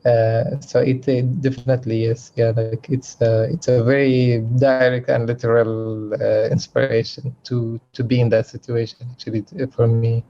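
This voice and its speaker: Gravelly mellow man from Palestine. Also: medium pitch, level tone, moderate pace, hardly any pauses. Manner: hesitant, many fillers and restarts. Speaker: film director on a festival panel. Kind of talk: unscripted panel answer. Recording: dull, muffled sound.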